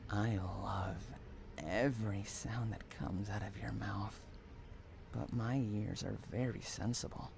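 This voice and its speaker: A man performs an unhinged, possessive stalker in an intimate audio drama, speaking slowly to a captive lover. He coos endearments and threatens in the same breath, with a menacing, obsessive temper. There is some noise behind the voice. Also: on the low side, fairly even intonation, creaky. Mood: whispered menace. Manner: cooing endearments mixed with threats. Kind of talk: dramatic roleplay monologue addressed to the listener.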